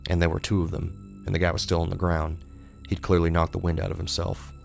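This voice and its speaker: A man's voice: low-pitched, completely flat delivery, brisk, gravelly, faint music behind. A man reading scripted horror fiction as an audiobook narrator.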